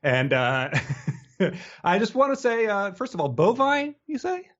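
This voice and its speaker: A man's voice: high voice, very animated, measured pace, fairly bright tone, dull audio. A man co-hosting a podcast in casual comedic chat, riffing and often laughing as he talks. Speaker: podcast co-host.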